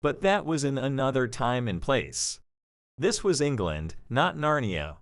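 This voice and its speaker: A man narrating a scripted dramatic story in a measured, expressive storytelling manner. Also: medium pitch, dry recording, clean studio sound.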